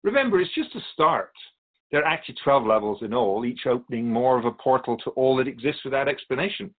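Guy playing wise otherworldly spirit teacher in a radio drama, patient, lilting lively pitch, kinda muffled audio. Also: lightly acted. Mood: calm, expansive. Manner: measured, philosophical, gently instructive. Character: otherworldly male Keeper of Souls, patient, wise, teacherly storyteller. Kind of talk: scripted radio drama dialogue.

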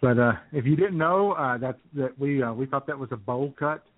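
A man's voice: mid-range voice, moderate pace, no room sound. Guy cohosting net radio, sarcastic jokey chat, thin phone-like sound, very dry. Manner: sarcastic, joking. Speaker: internet radio co-host. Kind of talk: casual comic banter about the news.

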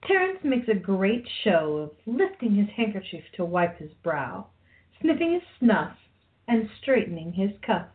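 Woman narrator, mid pitch, scripted radio play storytelling, muffled lo-fi recording. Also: sing-song, unhurried.

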